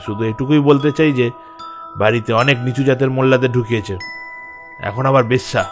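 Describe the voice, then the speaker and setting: A man's voice: medium pitch, steady tone, fairly quick, faint music behind, close to an everyday voice. A man playing an indignant, status-proud villager in an audio story, haughty and confrontational. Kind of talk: Bengali audio story acting.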